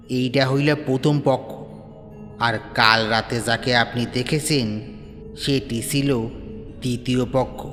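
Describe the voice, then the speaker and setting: Man voicing a tea stall keeper, calm storyteller, reverberant sound. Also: medium pitch. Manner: calm, matter-of-fact storytelling. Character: middle-aged tea stall man telling a local story.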